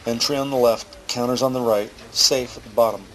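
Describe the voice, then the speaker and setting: A man narrating scenes from a script in a deliberate, descriptive way. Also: medium pitch, steady tone, low-quality recording.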